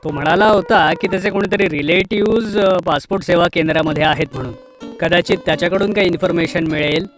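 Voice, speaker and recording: A woman voicing a calm, reassuring father who explains things in a measured way in a children's cartoon. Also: fairly low pitch, pitched below the performer's own voice, natural voice. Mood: reassuring, thoughtful. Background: music.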